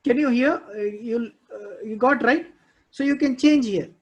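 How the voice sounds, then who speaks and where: A man's voice: very high voice, measured pace, slightly rough, lo-fi sound. A male instructor with an Indian English accent, walking students through a live technical class, often repeating himself and checking "okay, understood?".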